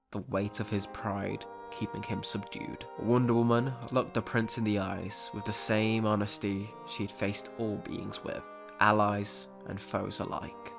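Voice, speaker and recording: A man's voice: lowish voice, vocal fry. A man narrating a scripted, dramatic action story. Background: music.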